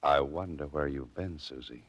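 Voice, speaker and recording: A man's voice: very deep voice, moderate pace, slight background noise. A man playing a wry, adventurous writer-sleuth with understated delivery in 1940s radio acting style. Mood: wry, musing. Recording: muffled lo-fi 1940s radio recording.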